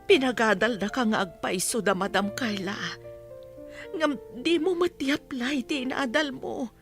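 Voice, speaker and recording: A woman's voice: on the high side, measured pace, soft background music. An actress playing a loyal, motherly nanny in an Ilocano drama, earnest and reproachful. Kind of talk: Ilocano radio-style drama acting.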